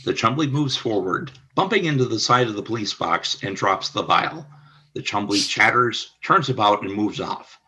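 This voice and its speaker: Brief: male narrator for a radio play, measured delivery, bright but husky tone. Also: on the low side, lively intonation. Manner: measured, announcer-like.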